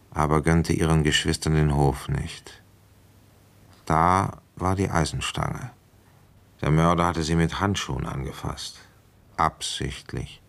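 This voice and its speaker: An actor playing a weary, shrewd police inspector in a German radio play, brooding and speaking deliberately as he reasons things through. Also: low-pitched, vocal fry, full character voice. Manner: deliberate, reflective, halting while thinking aloud. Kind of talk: German radio play acting, first-person narration and dialogue.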